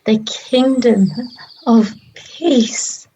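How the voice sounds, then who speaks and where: A woman acting a grieving yet resolute disciple in a dramatised ancient religious text, speaking in a hushed, deliberate way with long pauses. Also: fairly high pitch, a little hiss or hum, rough recording. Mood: quiet resolve.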